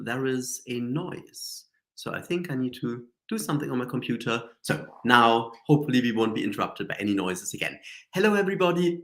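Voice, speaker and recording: A man with a cold and a slightly nasal voice, hosting a live stream in a chatty, rambling way with many fillers and restarts. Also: medium pitch. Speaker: Reiki teacher and show host. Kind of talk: casual live-stream talk.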